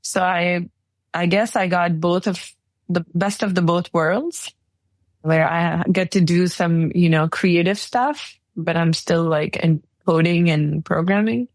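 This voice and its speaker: A woman in her forties from Pakistan, telling her life story in a relaxed interview. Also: lowish voice, slow, no room sound. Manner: relaxed storytelling with light laughs. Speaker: guest, engineering executive. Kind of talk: casual interview answers.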